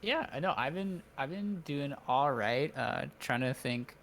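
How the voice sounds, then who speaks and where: Halting man on a noisy call. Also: medium pitch, expressive, fairly bright tone. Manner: hesitant, halting, thinking aloud. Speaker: student in coaching session. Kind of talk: casual chat. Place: remote online voice call.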